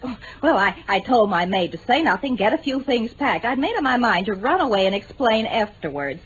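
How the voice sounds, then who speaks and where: A woman's voice: fairly high pitch, very animated, brisk. A woman playing a frank, impulsive young American bride in vintage radio drama, speaking earnestly and a little breathlessly, with an American accent. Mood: eager, confiding. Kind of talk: 1950s-60s BBC radio drama acting. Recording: dull vintage radio recording, faint noise.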